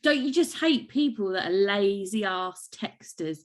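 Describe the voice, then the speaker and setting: A woman co-hosting a podcast, chatting casually, sarcastic and quick to laugh out loud. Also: mid-range voice, lively intonation. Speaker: podcast co-host. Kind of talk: casual chat.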